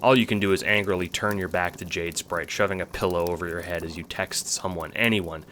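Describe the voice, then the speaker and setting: A man's voice: low-pitched, steady tone, brisk, very noisy. A man reading scripted second-person narration for an audio drama.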